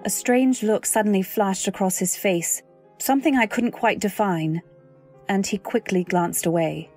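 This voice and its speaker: A woman's voice: medium pitch, measured pace, a little mellow. A young newlywed in an old-time radio drama. She is polite and timid, speaking and narrating with earnest, genteel care. Faint music plays behind her. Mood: reflective.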